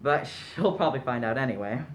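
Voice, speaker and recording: A foppish young society gentleman played by a man in a radio play. He is jolly, naive and eager to please, happy to poke fun at himself. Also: mid-range voice, animated, fast talker, lightly acted, low-quality recording. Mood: sheepish, genial. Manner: jolly, foppish, self-deprecating. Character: genial, naive upper-class young gentleman suitor. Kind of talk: stage-style radio play acting.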